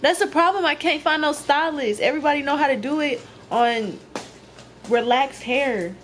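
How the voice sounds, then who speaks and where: A woman's voice: high voice, normal speed. A twenty-year-old woman vlogging at a hair salon, chatting casually and playfully to the camera in slang. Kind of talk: casual vlog chat to camera.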